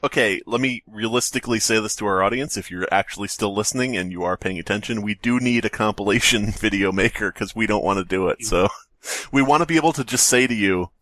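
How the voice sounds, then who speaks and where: A man's voice: on the low side, fast, fairly bright tone, dry recording. A man co-hosting a podcast in casual comedic banter, riffing in mock quotes and bits. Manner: riffs in mock quotes and bits, often cracking up. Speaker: podcast co-host.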